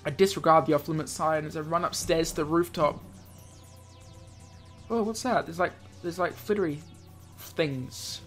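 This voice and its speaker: A man's voice: fairly high pitch, moderate pace, fairly bright tone. Man reading a game aloud, stumbling over words. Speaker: gaming host. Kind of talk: let's play, reading visual novel aloud with reactions. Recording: music behind the voice.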